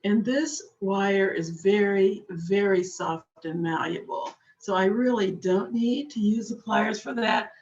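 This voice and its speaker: Slow, patient woman teaching a craft. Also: mid-range voice, darkish tone, lo-fi sound. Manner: patient, chatty, talks while working with her hands. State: slightly hoarse. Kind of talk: slow step-by-step craft demonstration.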